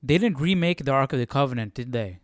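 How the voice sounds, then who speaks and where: A man's voice: mid-range voice, lively intonation, fast, no room sound, clean studio sound. A young man hosting a Bible study stream, casually thinking aloud in a hesitant, questioning way. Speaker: Bible study livestream host.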